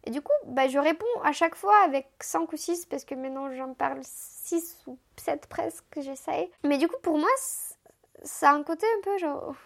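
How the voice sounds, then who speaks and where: Creaky-voiced young woman from Moldova. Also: high-pitched, moderate pace, fairly bright tone. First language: Romanian. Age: 20s. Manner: chatty, self-mocking, frequent restarts and laughs. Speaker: podcast guest. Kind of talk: casual personal storytelling.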